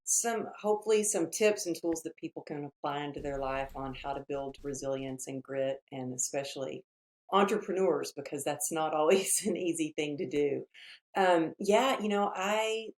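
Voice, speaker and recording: A woman from Texas with a Texan accent, telling her story as a guest in an earnest way with thoughtful pauses. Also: sits low, darkish tone. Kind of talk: storytelling interview.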